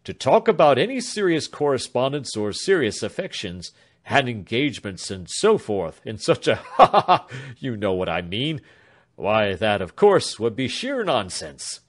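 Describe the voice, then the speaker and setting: A man's voice: medium pitch, big pitch swings, a little slow. A man doing a hearty, chuckling old doctor. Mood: jovial. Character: jovial older male doctor, blustering and fatherly.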